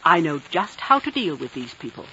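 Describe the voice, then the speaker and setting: An actress playing a prim, stubborn, pious female missionary in a 1950s radio drama, brisk, righteous and self-assured. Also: low-pitched, sing-song, pushed and bright, exaggerated voice. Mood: righteous, determined. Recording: dull, noisy old radio recording.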